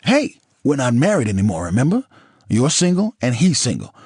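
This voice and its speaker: Exasperated blunt ex-husband, read by a man, lively and husky. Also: medium pitch, moderate pace, dry recording, clean studio sound, pitched above the performer's own voice. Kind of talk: audiobook character dialogue.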